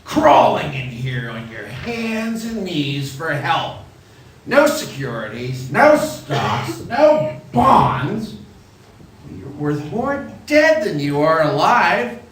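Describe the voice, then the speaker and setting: A man's voice: a little high, sing-song, slow, a bit bright, heavily acted. A man playing a cold, gloating old rich miser, sneering and mocking in an old-time radio play. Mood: scornful. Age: older. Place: theatre stage. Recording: very echoey theatre, distant mic, faint noise.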